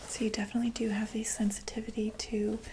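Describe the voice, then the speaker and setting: A woman whispering breathily in an ASMR medical roleplay, explaining things as a calm doctor in a gentle, hesitant, clinical way. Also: a little high, fast talker, noisy background. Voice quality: breathy, mostly unvoiced whisper. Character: calm, attentive doctor to monsters.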